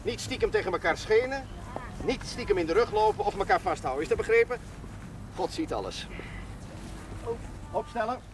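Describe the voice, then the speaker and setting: A man's voice: high voice, expressive, normal speed, pauses often, noisy background. An actor playing a village parish priest who gives stern, fatherly instructions, in a 1970s TV drama. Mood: firm. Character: parish priest refereeing a boys' football game.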